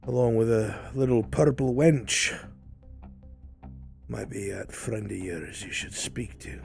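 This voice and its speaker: A furtive informant acted out by a man in a fantasy roleplay, with a low, dark and mellow voice. He talks like a conspirator in rustic, old-fashioned words, loyal to his lord, with music faintly behind him. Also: slow, gravelly. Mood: hushed, wary. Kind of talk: improvised fantasy roleplay dialogue. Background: background music.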